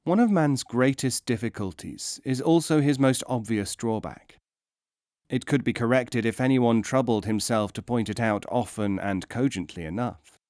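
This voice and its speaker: A man narrates an audiobook of philosophical teaching tales in a medium-pitched voice. His delivery is measured and instructive, with emphasis placed on key words. The sound is dry, with hardly any room on it. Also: darkish tone, no echo.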